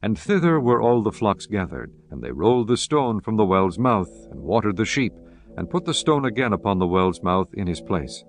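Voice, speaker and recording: A man narrating scripture in a measured, reverent manner. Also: lowish voice, big pitch swings. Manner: measured, reverent, archaic diction. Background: guitar music.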